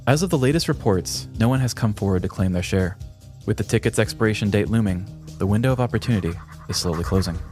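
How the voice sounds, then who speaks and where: A man with a fairly low voice narrates a scripted story for a podcast. His delivery is measured and dramatic, and music plays faintly behind him. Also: lively intonation, darkish tone. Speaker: podcast host. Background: background music.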